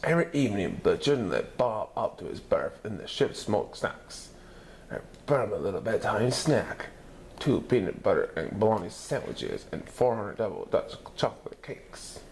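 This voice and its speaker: A man reading a children's picture book aloud in an animated storytelling manner, putting on voices for characters. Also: on the low side, moderate pace, slight background noise. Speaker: story reader.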